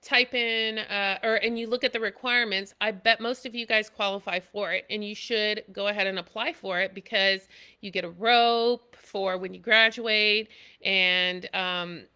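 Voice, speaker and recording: A woman talks off the cuff in a live video, her voice nasal from allergies but bright and crisp. She rambles in a friendly way, leaning on lots of fillers as she goes. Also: mid-range voice, steady tone, normal speed. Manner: loose and chatty, many "you know" and "um" fillers. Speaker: military service member presenting school tips. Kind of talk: impromptu live video talk.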